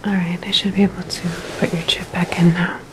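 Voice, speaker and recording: A woman playing a calm, reassuring underground hacker in a cyberpunk ASMR roleplay, speaking softly with gentle, hesitant pauses. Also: on the low side, lightly acted. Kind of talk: ASMR roleplay, personal attention.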